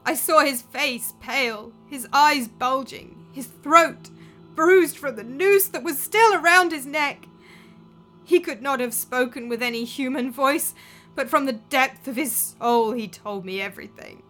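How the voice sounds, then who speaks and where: A woman's voice: high voice, unhurried, sharp tone, faint music behind, voice pushed up. A woman voicing a grief-stricken young woman who screams and sobs furious accusations. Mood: tearful, frantic.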